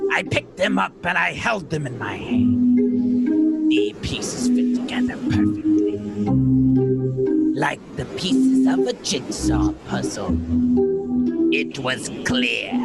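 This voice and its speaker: Man acting a slow, uneasy young nephew, gravelly edge. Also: a little high, heavily acted. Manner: deliberate, suspenseful, with pauses. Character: uneasy young nephew narrating a mystery tale. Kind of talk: old-time radio play narration.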